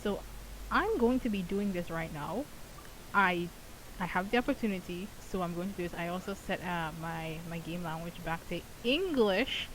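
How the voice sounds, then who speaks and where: A woman's voice: on the low side, animated, moderate pace, a bit bright, rough recording. A woman giving casual gameplay commentary in a rambling, conversational way. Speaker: gaming content creator. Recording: faint hiss.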